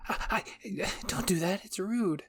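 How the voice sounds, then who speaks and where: A man's voice: high voice, a little slow, soft background music, pitched above the performer's own voice. Man playing a hesitant, worried teenage boy. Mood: flustered scolding. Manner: hesitant, stammering, soft scolding. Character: gentle, worried teenage boy, anxious and stammering. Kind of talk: anime fan-fiction audio reading.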